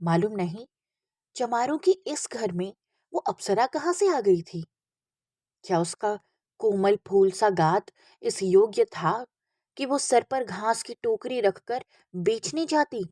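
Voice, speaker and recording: Husky, unhurried woman narrating a story. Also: medium pitch, animated, warm tone, no echo, clean studio sound. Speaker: audiobook narrator. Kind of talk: expressive literary story reading.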